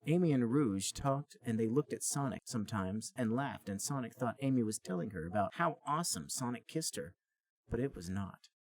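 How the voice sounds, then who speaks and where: Husky man narrating with lively pitch. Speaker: podcast host reading a story. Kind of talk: comic read-aloud narration.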